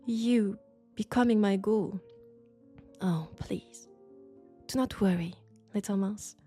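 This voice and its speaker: Woman acting a sophisticated female vampire, French accent, dark mellow voice, seductive, condescending, unhurried, soft music behind. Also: medium pitch, husky. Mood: pleased, soothing. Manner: slow, deliberate, seductive, condescending. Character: elegant, sophisticated, coolly curious female vampire scholar. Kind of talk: intimate roleplay audio drama monologue. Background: faint dark ambient music.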